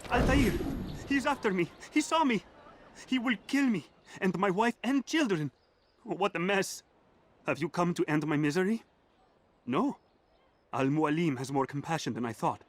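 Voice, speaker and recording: A man's voice: high-pitched, lively intonation, normal speed, long pauses. A man voicing a panicked, pleading informant who fears for his life in a video game. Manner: frantic, pleading.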